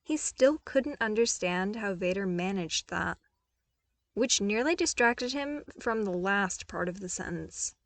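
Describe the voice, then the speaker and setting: A woman's voice: medium pitch, expressive, unhurried, no echo. A woman reading scripted fiction expressively as an audiobook narrator, close to the microphone.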